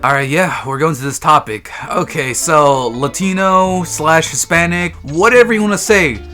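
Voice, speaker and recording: A man's voice: fairly high pitch, normal speed, bright, forceful tone. Young man, from Texas, casual vlog chat, slangy and joking, faint guitar music. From Texas, United States. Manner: slangy, joking, loose storytelling. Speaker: vlogger.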